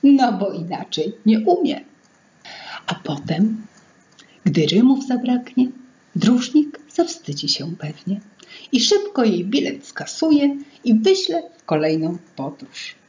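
A middle-aged woman reading her own fairy tale to children in an expressive, theatrical singsong way. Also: mid-range voice, slow. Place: small indoor room at home. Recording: dull lo-fi sound, music behind. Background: music.

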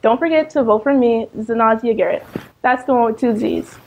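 A woman's voice: on the high side, a little fast, darkish tone, a little hiss or hum, rough recording. A teenage American girl making a prepared campaign speech as a student candidate. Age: teenager, about 14.

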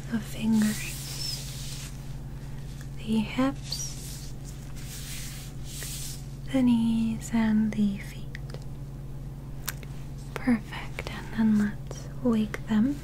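A young woman plays a calm female alien physician in a sci-fi ASMR roleplay. The whisper is soft and slightly high, extremely slow and clinical. It is close on the mic, with noticeable noise behind it. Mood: focused, clinical. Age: young adult. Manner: slow, gentle, clinical, deliberate. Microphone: close microphone.

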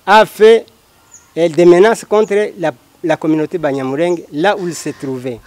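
A middle-aged man from the Congo with a Congolese French accent, giving formal, deliberately phrased explanations as an interview guest. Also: fairly high pitch, darkish tone. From Democratic Republic of the Congo. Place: outdoors by a house wall. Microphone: handheld microphone held by interviewer.

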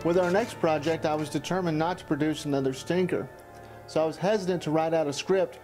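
Man, 40s, from Texas, higher voice, reminiscing documentary narrator, music underneath. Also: steady tone, fairly quick, low-quality recording. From Texas, United States. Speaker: documentary narrator and amateur filmmaker.

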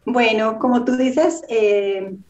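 A woman's voice: fairly high pitch, fairly even intonation, a little mellow, lo-fi sound. Bogotá woman writer on a roomy video call. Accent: Bogotá Colombian. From Colombia. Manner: earnest, reflective, measured. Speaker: writer, interview guest. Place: home room indoors. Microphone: laptop mic on video call.

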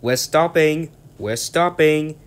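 A man voicing an eager, cheeky little male engine in a storybook, excited and breathless. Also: fairly high pitch, slow pace, voice pushed up. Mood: panicked.

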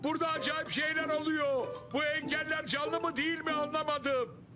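A man voicing a dopey, easily frightened cartoon elephant, naive and excitable in manner. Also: very high-pitched, normal speed. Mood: startled, alarmed. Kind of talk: cartoon voice acting. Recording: loud music under dialogue.

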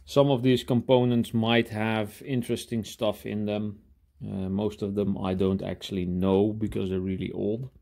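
A man talking casually through a hands-on demonstration in a workshop, halting and thinking aloud as he goes. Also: fairly low pitch, flat delivery, gravelly, dark tone. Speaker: hobby scrapper presenting his own video. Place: indoor cluttered workshop.

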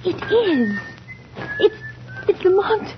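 A woman's voice: extremely high pitch, big pitch swings, takes it slowly, heavily acted. A woman playing an anxious, determined young society lady, urgent and dramatic, in a 1930s radio drama. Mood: worried. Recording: muffled, noisy 1930s radio recording.

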